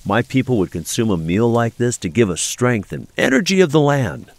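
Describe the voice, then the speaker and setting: A man playing a suave, secretive inventor with a gravelly voice in an audio drama. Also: mid-range voice, sing-song, moderate pace, dry recording. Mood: smooth, amused. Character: suave, secretive male American inventor of Cheyenne roots.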